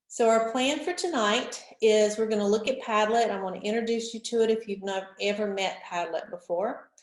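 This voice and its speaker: A woman's voice: mid-range voice, a little mellow. Stuffy-nosed woman leading a teacher webinar. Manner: organized, instructive, addresses teachers directly. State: stuffy from a cold. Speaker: instructional technology facilitator. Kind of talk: online training webinar. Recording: roomy echo.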